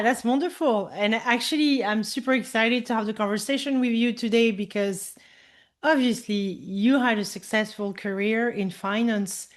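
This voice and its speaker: A woman in her forties hosting a podcast interview. She speaks upbeat and enthusiastic, sometimes stumbling and restarting her sentences. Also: a little high. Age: 40s. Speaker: podcast host.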